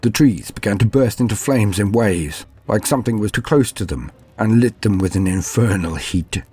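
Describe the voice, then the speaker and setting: A man playing a frightened but dutiful knight in an audio drama, narrating gravely in archaic wording with dramatic pauses. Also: low-pitched, a little mellow, heavily acted. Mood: horrified awe. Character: frightened but dutiful male knight recounting doomed battle.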